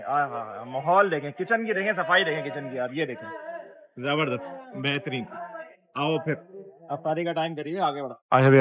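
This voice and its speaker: A man in his thirties with a Pakistani Urdu accent, hosting a casual food vlog outdoors and reacting with excited exclamations. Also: mid-range voice, no echo. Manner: excited, exclamatory, chatty with staff. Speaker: food vlogger. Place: outdoor restaurant at dusk.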